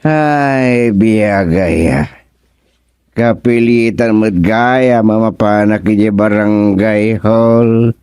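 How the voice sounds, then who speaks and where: A man playing a flustered older village councilman in an Ilocano radio comedy, muttering and exclaiming with drawn-out words in an Ilocano accent. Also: lowish voice, darkish tone, clean tone. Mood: grumbling, musing.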